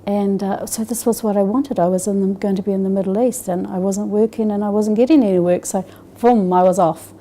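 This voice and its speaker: Soft-toned New Zealand woman, hesitant. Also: medium pitch, fairly even intonation, fairly quick. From Scotland, raised in New Zealand. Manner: hesitant, many fillers and restarts. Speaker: interviewee. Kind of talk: personal testimony.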